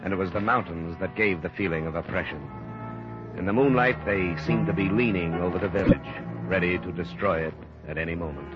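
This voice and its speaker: Over a muffled vintage radio broadcast with swelling music comes a composed male investigator played by an actor. His low voice is terse and deadpan, delivered in the measured manner of a hard-boiled detective serial. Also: lightly acted. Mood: brooding narration. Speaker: actor playing the lead and narrator. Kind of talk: 1950s radio detective drama, first-person narration.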